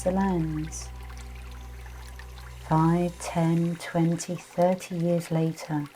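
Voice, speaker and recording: A woman leading a guided meditation and visualization in a gentle, soothing, unhurried way, with many pauses. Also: fairly low pitch, a little hiss or hum, low-quality recording. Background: soft music with trickling, dripping water.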